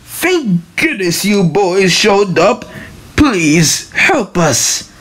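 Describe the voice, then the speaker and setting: Casting: a woman playing a shaky, pleading old village elder, slow delivery, comic dub. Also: mid-range voice, big pitch swings, a bit bright, slightly rough, exaggerated voice.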